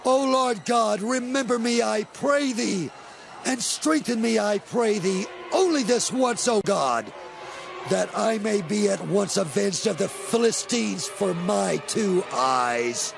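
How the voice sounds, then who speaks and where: A man playing a blinded captive strongman in a dramatized scripture recording, pleading solemnly and slowly for vengeance. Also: high voice, animated, gravelly, music in the background, exaggerated voice. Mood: anguished, vengeful. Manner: imploring, solemn, drawn-out.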